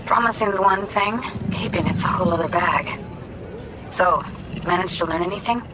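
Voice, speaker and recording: A woman's voice: fairly low pitch, moderate pace, noisy background, natural voice. An actress playing a tough, guarded young street-tech woman in a video game, blunt, sarcastic and slangy. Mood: wry, uneasy. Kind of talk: video game dialogue acting.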